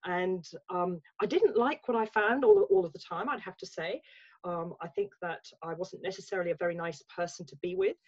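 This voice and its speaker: English woman in her sixties, reflective, halting restarts, laptop mic, slightly muffled video-call sound. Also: lowish voice, a little mellow. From England. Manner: reflective, many fillers and restarts. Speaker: guest, life coach. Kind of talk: casual video-call interview. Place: home room with bookshelves. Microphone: laptop webcam mic on video call.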